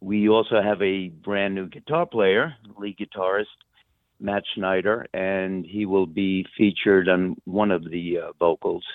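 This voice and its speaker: A man's voice: sits low, fairly even intonation, measured pace, no room sound. A man from the United States chatting casually as a musician guest on a remote line, informal, rambling and hesitant. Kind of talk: casual interview chat. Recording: narrow-band phone guest line.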